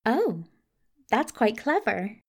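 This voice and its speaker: Woman as a creaky-voiced, courteous gentleman hero. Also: a little high, big pitch swings, slow, exaggerated voice. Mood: pleased, conspiratorial. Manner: courteous, hesitant, genteel. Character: polite, agreeable, slightly flustered gentleman hero.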